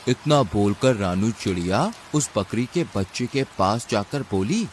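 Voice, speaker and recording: Lively male storybook narrator. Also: lowish voice, a little slow, slight background noise. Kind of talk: animated scripted storybook narration.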